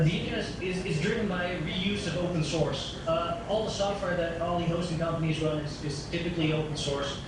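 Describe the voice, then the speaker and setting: A man with a Dutch accent giving spontaneous remarks at a conference, halting and repeating words as he goes. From Netherlands. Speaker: audience commenter, internet society director. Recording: echoey, distant, noisy conference link with rumble.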